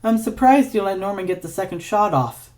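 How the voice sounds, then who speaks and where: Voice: medium pitch, animated, fairly quick, darkish tone, voice pushed up, lightly acted. A performer voicing a sardonic male villain scientist in an audio story, theatrical and taunting, with a dry drawl. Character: sardonic male villain scientist, needling his old lover.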